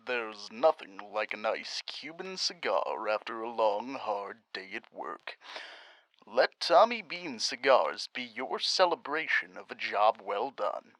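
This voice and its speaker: A man's voice: on the low side, sing-song, takes it slowly, fairly bright tone, no echo, exaggerated voice, poor audio. A man playing a suave salesman who reads an old-time radio advertisement from the 1930s with savoring salesmanship. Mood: suave, selling.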